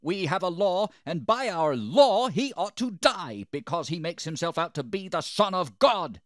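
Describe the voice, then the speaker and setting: A man voicing an angry, accusing mob of priests and townsmen in a dramatised scripture reading, shouting stridently. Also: high voice, big pitch swings, measured pace, no room sound, clean studio sound, voice pushed up.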